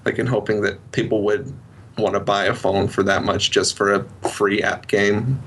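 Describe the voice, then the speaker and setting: A man's voice: level tone, moderate pace, a bit bright. A man talking casually on a podcast. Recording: distant mic, faint rumbling noise.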